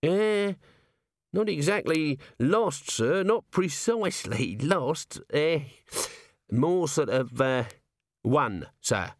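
A man's voice: on the high side, animated, slow pace, no echo, studio quality, pitched above the performer's own voice. A man doing a stammering, jittery little corporal. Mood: nervous. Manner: stammering, hesitant, full of "sir"s. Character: nervous little male corporal, shifty and evasive. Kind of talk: audiobook character voice.

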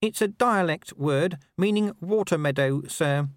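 Man playing a jittery young constable, high and anxious. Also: unhurried, dry recording, studio quality, natural voice. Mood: nervous. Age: young adult. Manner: anxious, stammering, overly dutiful. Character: nervous, cautious young male constable.

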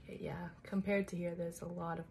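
A woman's voice: lowish voice, little pitch movement, brisk, creaky, a little mellow, slight background noise. Young woman asking curious interview questions. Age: young adult. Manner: curious, asks friendly follow-up questions. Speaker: interviewer, college student. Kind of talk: casual interview chat.

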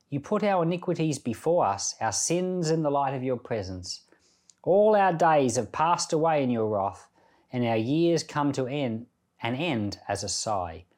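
A middle-aged man giving Bible reading and devotional commentary in an earnest, conversational way with deliberate phrasing. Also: mid-range voice, a little mellow.

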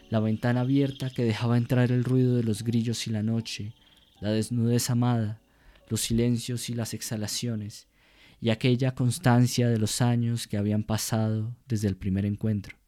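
A man narrating a literary radio drama in a measured, solemn way. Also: mid-range voice, flat delivery, mellow.

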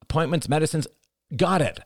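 A man's voice: high-pitched, expressive, measured pace, fairly bright tone, dry recording, clean studio sound, pitched above the performer's own voice. A man voicing a tense young mother arguing insistently. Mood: exasperated. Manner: strained, insistent.

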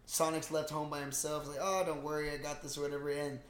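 A man co-hosting a casual podcast, retelling a film in slangy, swear-heavy, animated talk. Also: fairly high pitch, quick pace. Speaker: podcast co-host. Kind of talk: casual movie recap chat. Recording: roomy, echoey sound.

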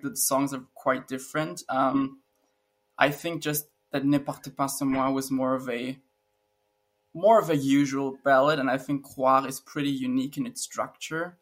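A man co-hosting a casual podcast, relaxed, giving his opinion with frequent fillers. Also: medium pitch. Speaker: podcast co-host. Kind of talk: casual chat.

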